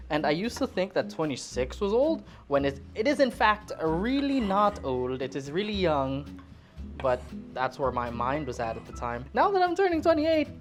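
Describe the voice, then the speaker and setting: A woman in her twenties from the Philippines, chatting casually and self-deprecatingly while she cooks in her home kitchen. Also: mid-range voice. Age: 20s. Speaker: cooking vlogger. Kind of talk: casual rambling chat while cooking.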